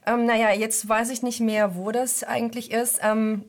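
A woman's voice: fairly high pitch, little pitch movement, moderate pace. A woman in her thirties from Donetsk with a Russian accent, answering interview questions hesitantly with many ums and restarts. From Donetsk, Ukraine. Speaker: guest, freelance journalist.

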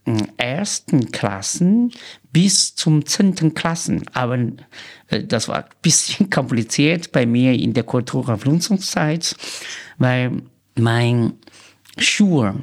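A man in his sixties from China with a Chinese accent, a native Chinese speaker, haltingly recounting his life as an interview guest and searching for words. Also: mid-range voice, lively intonation. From Sichuan, China. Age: 60s.

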